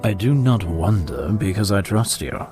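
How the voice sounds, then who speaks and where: A man plays a devoted, composed companion in an audio drama. His fairly low voice is formal, measured and earnest, with music clearly underneath. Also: animated. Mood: earnest devotion.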